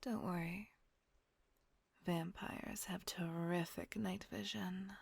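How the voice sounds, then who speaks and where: A woman voicing a gentle, protective female vampire caretaker in a whispered audio roleplay, soothing and coaxing. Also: lowish voice, steady tone, slow pace, no room sound, exaggerated voice. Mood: tender whisper. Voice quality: soft breathy whisper.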